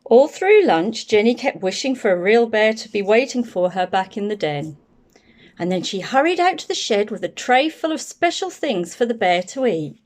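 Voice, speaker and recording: A woman reading a children's picture book aloud in an expressive, deliberate storytelling manner. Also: a little high.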